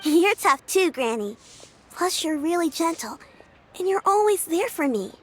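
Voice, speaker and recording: A woman's voice: very high-pitched, big pitch swings, measured pace, heavily acted. An actress voicing a polite, earnest young girl in a video game who cares for her grandmother. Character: kind, brave young girl caring for her granny. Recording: soft music behind.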